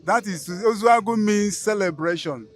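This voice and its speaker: A Nigerian man with a Nigerian English accent, speaking in a solemn, measured way. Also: high voice, faint music behind. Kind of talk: reflective speech.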